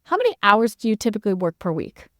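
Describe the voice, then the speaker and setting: Podcast interviewer, woman, mid-range pitch, conversational delivery, very dry recording. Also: sing-song, quick pace, no echo.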